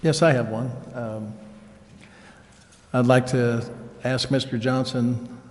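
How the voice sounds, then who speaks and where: A man speaking as a council member at a public meeting, asking questions in halting, measured phrases. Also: medium pitch, little pitch movement. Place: council chambers. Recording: reverberant meeting hall.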